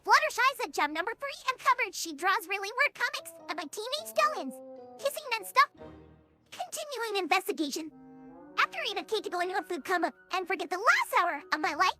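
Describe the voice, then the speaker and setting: A woman's voice: very high voice, very animated, a little fast, sharp tone, soft background music, exaggerated voice. A synthetic female voice playing a bubbly young cartoon pony detective in a fan audio drama. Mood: dry, exasperated. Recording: clear background noise, clicks.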